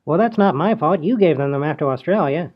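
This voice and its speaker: A man's voice: a little high, expressive, a little fast, lo-fi sound. A man playing a naive, bungling small-time crook in a radio comedy, defensive and full of excuses. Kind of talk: comedy radio play acting.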